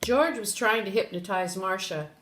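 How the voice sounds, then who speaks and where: An older woman reading a children's story aloud in an expressive way, with brief asides. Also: mid-range voice, normal speed, noisy background. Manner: expressive, animated. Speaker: storybook reader. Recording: roomy echo.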